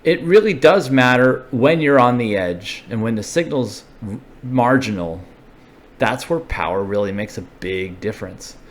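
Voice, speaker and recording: A man's voice: medium pitch, expressive, measured pace. A man presenting a hobby explainer video, talking casually and unscripted, thinking aloud as he works through technical points step by step.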